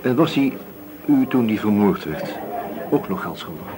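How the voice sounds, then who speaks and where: Probing police inspector, lively pitch. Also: male voice. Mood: calm, probing. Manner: inquisitive, measured, short probing questions. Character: calm, probing male police inspector questioning witnesses. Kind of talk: naturalistic radio drama dialogue. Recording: busy crowd noise around the voice. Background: café chatter.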